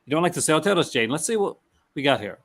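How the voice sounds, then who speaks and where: A man's voice: a little high, big pitch swings, racing, a bit bright. A man hosting a casual chat, reading out movie descriptions with stumbles and restarts and laughing often. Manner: reads product blurbs aloud, stumbling and restarting, laughs often. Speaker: host.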